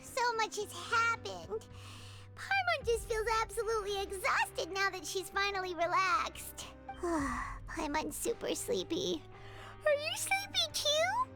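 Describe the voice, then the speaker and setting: A woman voicing a tiny, childlike, excitable fairy companion in a video game, speaking of herself in the third person. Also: extremely high pitch, takes it slowly, exaggerated voice. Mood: sleepy. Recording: game music behind the voice.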